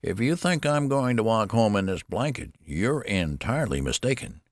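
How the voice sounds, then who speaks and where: A man's voice: medium pitch, huge pitch swings, measured pace, gravelly, pushed and bright, no room sound, studio quality, pitched above the performer's own voice, exaggerated voice. A man voicing a querulous, whining old man with a cracked voice in an audiobook story. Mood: indignant. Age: older. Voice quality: cracked, whiny. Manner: querulous, complaining.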